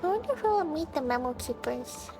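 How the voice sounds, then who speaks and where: High-voiced woman chatting over game music. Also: lively intonation, fairly quick. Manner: chatty, thinking aloud, often asking questions. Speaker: livestreamer. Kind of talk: casual chat while playing a game for viewers. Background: video game music.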